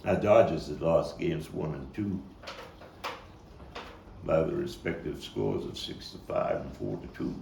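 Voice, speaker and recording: Actor as slow, halting Brooklyn storyteller man, roomy recording. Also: a bass voice, animated, creaky, darkish tone, heavily acted. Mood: grim, bitter. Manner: halting, deliberate, with repeats and weighty pauses. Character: Brooklyn man recalling a haunted, violent 1950s boyhood. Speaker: actor and playwright reading his own monologue. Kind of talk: dramatic monologue at a table read. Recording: roomy, distant mic with faint background noise.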